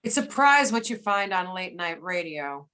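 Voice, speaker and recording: A woman acting a frank, sharp-tongued federal agent in an amateur readers theater. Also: lowish voice, very animated, moderate pace, clean tone. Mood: teasing.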